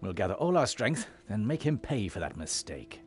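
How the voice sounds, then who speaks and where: An actor playing a noble, resolute young warrior leader in a fantasy game, earnest and commanding. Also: male voice, fairly low pitch, big pitch swings, moderate pace, soft background music. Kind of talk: fantasy video game voice acting.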